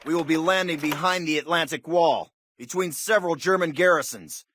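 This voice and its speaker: An actor playing a confident American army officer, giving an authoritative, orderly briefing to paratroopers in a video game. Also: male voice, a little high, fairly quick, noisy background, lightly acted. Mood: firm, businesslike. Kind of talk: military mission briefing, scripted game acting.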